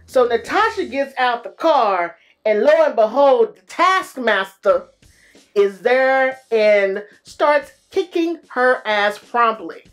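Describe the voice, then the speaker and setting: A woman recapping a movie in a casual, animated way, full of slang and playful exclamations. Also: on the high side, takes it slowly, rough recording. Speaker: movie reviewer.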